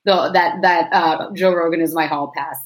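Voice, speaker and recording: A woman's voice: on the low side, steady tone, moderate pace. A woman co-hosting a podcast in casual banter, teasing and wry, her voice still recovering after losing it. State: voice recovering from loss. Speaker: podcast co-host.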